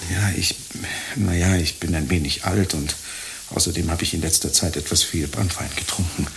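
An actor playing an anxious, lovestruck ageing friar in a radio drama, hesitant, stammering and plaintive. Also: male voice, sits low, fairly even intonation, moderate pace, creaky, fairly bright tone, a little hiss or hum. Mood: sheepish.

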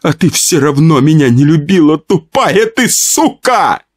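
Man acting a high-pitched whining, cowardly husband. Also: sing-song, unhurried, breathy edge, dry recording, studio quality, heavily acted. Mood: bitter, tearful. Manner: whining, pleading, tearful. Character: cowardly, whining, jealous husband, desperate and pleading.

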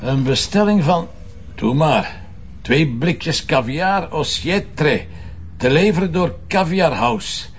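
A Flemish actor playing a shrewd, sceptical police commissioner in a naturalistic crime drama, talking in a colloquial, probing way. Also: male voice, a little high, animated, slow pace, slightly rough, heavily acted. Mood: brisk, musing. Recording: muffled sound with faint noise.

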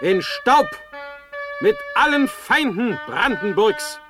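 High, declaiming military leader. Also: male voice, sing-song, slow, music in the background, exaggerated voice. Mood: triumphant. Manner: loud, declamatory. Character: commanding military leader hailing a victor. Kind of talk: classical radio play declamation.

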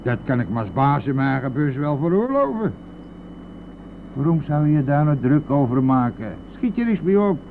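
An actor playing a brazen, evasive bus driver in a radio play, blustering and dismissive, then wheedling, with a gravelly voice. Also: male voice, on the high side, big pitch swings, normal speed. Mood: dismissive, cajoling. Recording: noisy phone-like old radio play recording.

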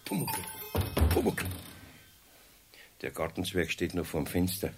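An older man with a Bavarian accent playing a gruff but good-natured master carpenter in a children's radio play, chuckling and flustered. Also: low-pitched, big pitch swings, a little slow, exaggerated voice. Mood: muttering.

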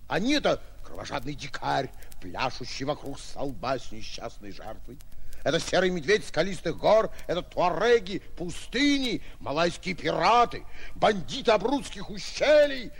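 Pompous provincial braggart, 1940s radio drama: creaky, wildly swooping delivery, slightly noisy old recording. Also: male voice, fairly high pitch, very animated, moderate pace. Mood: ominous bombast. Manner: pompous, bombastic, theatrical storytelling. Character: bragging, excitable provincial would-be lion hunter. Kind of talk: 1940s Soviet radio play acting.

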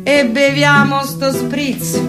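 High voiced woman doing satirical cabaret, mock-solemn and theatrical, loud guitar backing. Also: little pitch movement, takes it slowly. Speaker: comic singer and stage performer. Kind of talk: comic cabaret monologue and satirical song. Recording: music behind the voice. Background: plucked guitar accompaniment.

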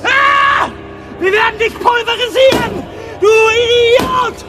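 A man playing a furious alien warmonger in a radio play, screaming abuse. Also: very high-pitched, takes it slowly. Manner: screaming, abusive. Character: furious shrieking alien warmonger berating a machine. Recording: drowned in loud music and crowd.

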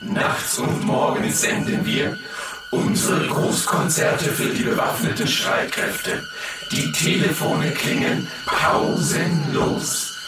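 A man performing a declamatory announcer in a radio play, speaking slowly and emphatically. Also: high voice, expressive, vocal fry, heavily acted. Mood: declamatory, upbeat. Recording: very echoey, distant, loud music behind.

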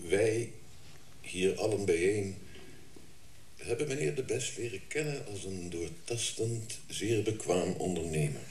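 A man's voice: sits low, animated, measured pace, darkish tone. Guy a solemn funeral orator in a radio drama, echoey, off mic, ceremonious. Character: formal, pious male funeral orator. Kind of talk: funeral speech in a radio play. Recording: echoey, distant, faint noise.

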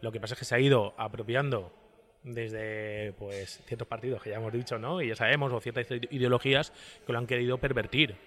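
A man talks as a guest in an interview. His delivery is rapid, informal and conversational, with a mid-range voice. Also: mid-range voice, fairly even intonation, a bit bright. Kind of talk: casual conversation.

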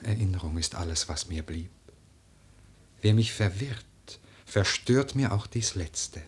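A melancholy, lovelorn dreamer in a poetic radio play. The voice is low, slow and very husky, breathing out verse as if lost in memory. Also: male voice, lively intonation, exaggerated voice. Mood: brooding.

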